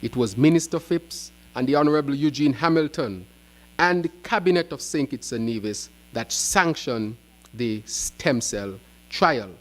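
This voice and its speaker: A man giving a prepared press conference speech as an opposition spokesman, in deliberate, emphatic short phrases. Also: medium pitch, lively intonation.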